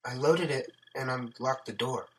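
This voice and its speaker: A man's voice: medium pitch, moderate pace, fairly bright tone, slight background noise, voice pushed up, close to an everyday voice, rough recording. A man voicing a shaken, pleading woman in an audiobook story, hesitant and distressed. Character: shaken, pleading woman who has just shot an intruder.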